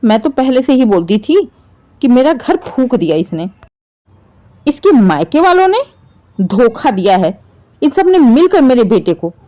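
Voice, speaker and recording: Woman acting bitter, high-voiced scolding mother-in-law. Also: sing-song, measured pace, long pauses, warm tone, lo-fi sound. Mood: aggrieved. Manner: shrill indignant complaining, sarcastic. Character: bitter scolding middle-aged mother-in-law, dramatic and possessive.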